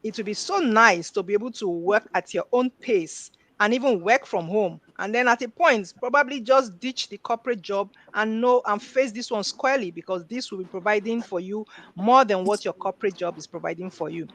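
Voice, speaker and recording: A woman's voice: mid-range voice, fairly quick, fairly bright tone, no echo. A middle-aged woman guest earnestly telling her own story in an interview.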